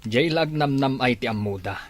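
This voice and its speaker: A man's voice: mid-range voice, animated, normal speed, lightly acted. A man with an Ilocano accent playing a stern, disgruntled farmer father in a family drama. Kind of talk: amateur Ilocano radio-style family drama.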